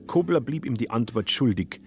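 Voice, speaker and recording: A man's voice: medium pitch, lively intonation, moderate pace, soft background music. A man reading scripted literary narration for a radio drama.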